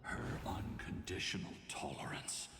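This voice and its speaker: Slow, low, echoing grave storyteller. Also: male voice, sharp tone, slight background noise. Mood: solemn. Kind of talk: scripted fantasy game dialogue. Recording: long echoing hall, music behind.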